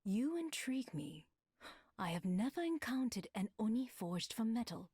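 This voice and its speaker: An actress playing a solemn, steely warrior woman in a video game, speaking in a measured, grave and poetic way. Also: a little high, sing-song, mellow, breathy edge, dry recording, studio quality. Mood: intrigued.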